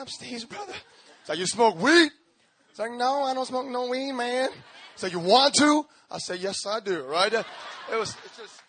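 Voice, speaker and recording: A young male pastor from Korea with a somewhat high voice, leading a prayer and then sharing his story in loose, slangy talk. He animates the people he quotes. The sound is close and dry. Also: fairly bright tone, dry recording. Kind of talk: sermon with prayer and testimony.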